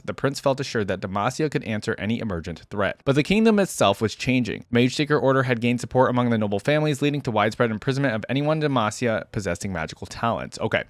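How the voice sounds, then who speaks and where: A man's voice: mid-range voice, no room sound, studio quality. A man reading fantasy lore aloud as a reaction host, with informal commentary in a casual style.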